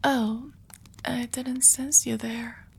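A woman voicing an anxious, devoted young female vampire who confesses her love in a soft, hesitant whisper, in an intimate audio roleplay. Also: on the high side, fairly even intonation, very slow, vocal fry, slightly rough, exaggerated voice. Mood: tender, confessing.

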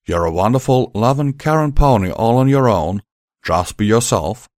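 A man voicing a rough-spoken young male pony with a drawl, strained and emotional. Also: on the low side, normal speed, a little mellow, no echo, clean studio sound, natural voice.

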